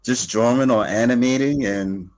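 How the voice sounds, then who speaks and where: A man's voice: medium pitch, normal speed, darkish tone. A man hosting a casual interview, hesitant and stumbling over his words with many ums. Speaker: host.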